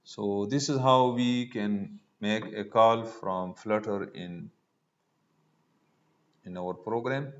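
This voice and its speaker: A man giving a step-by-step screencast programming tutorial, halting as he thinks aloud while typing. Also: lowish voice. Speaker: programming instructor. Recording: dull lo-fi mic with noise.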